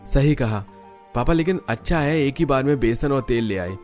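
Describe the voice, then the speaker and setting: A man's voice: mid-range voice, expressive, normal speed, a little mellow, close to an everyday voice. Practical young family man acted by a man, music behind. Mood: matter-of-fact.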